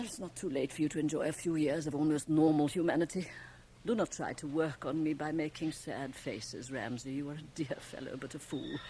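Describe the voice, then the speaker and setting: A woman's voice: very deep voice, dark tone. An actress playing a shrewd, domineering, teasing middle-aged woman confidante, blunt and theatrical, in a radio drama. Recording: faintly noisy 1980 radio cassette transfer.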